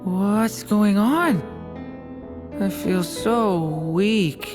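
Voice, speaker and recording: A woman voicing a gentle, wistful young dragon boy in a video game, hesitant and unsure. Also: mid-range voice, very slow. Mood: weak, confused. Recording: music behind the voice.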